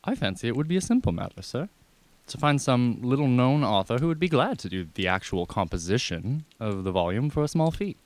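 Man playing a dignified valet, widely varied pitch. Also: very animated, no room sound, close to an everyday voice. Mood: discreetly advising. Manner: formal, measured, deferential. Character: discreet, dignified, deferential valet. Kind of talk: scripted radio drama acting.

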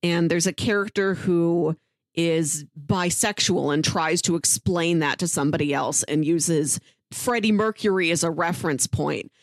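A woman's voice: on the low side, measured pace, no echo. A woman co-hosting a podcast, chatting casually as she reviews a show. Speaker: podcast co-host.

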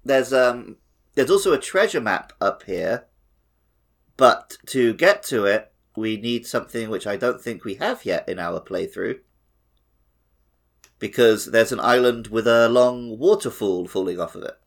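A man's voice: mid-range voice, expressive, a little slow, creaky. A man co-hosting a gaming podcast in a casual, conversational way. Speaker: podcast host. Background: music.

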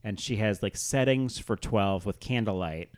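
A man's voice: fairly low pitch, moderate pace. A man hosting a podcast in casual, comedic chat, talking conversationally with lots of filler "like"s. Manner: conversational, peppered with "like". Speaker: podcast host. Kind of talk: casual comedic chat recapping a music video.

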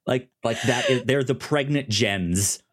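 Halting man chatting on a review podcast. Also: mid-range voice, fairly bright tone, slightly rough. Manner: halting, stammering, often repeats words. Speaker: podcast co-host reviewing a TV show. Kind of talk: casual review chat.

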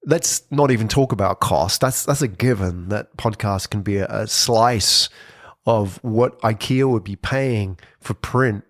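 A man giving an informal explainer talk on podcasting, lively and conversational, with frequent "sort of" hedges. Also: fairly low pitch, unhurried, no room sound, clean studio sound. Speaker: presenter on brand podcasting.

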